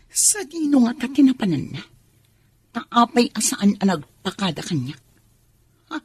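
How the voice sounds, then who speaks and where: Haughty, husky mistress with swooping high voice. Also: female voice, no echo, exaggerated voice. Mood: irritated, searching. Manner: haughty, drawn-out dramatic delivery. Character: defiant, selfish mistress clinging to a married man. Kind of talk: radio drama acting.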